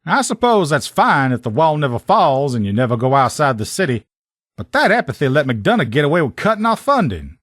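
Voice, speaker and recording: A man's voice: fairly high pitch, big pitch swings, brisk, fairly bright tone, dry recording, clean studio sound. A man playing a brash sheriff's lieutenant and proud armor mechanic in a video game, blunt, sardonic and salty-tongued. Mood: frank, opinionated. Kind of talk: video game character dialogue.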